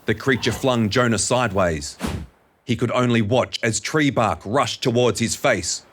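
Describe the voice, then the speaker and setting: A man reading a suspenseful story as a narrator, in a measured, dramatic scripted style. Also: mid-range voice. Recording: loud music under the narration.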